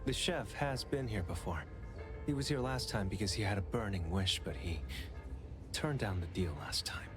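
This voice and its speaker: A man's voice: fairly low pitch, lively intonation, normal speed, close to an everyday voice. A man playing a cool and cryptic pawnshop keeper in an anime-style game. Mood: cool, aloof. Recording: very loud music under the voice.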